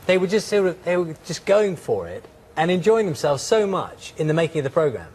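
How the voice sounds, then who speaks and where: A man's voice: fairly high pitch, sing-song, brisk, a little mellow, slight background noise. A man conducting a conversational interview, chatty and often ending statements with tag questions.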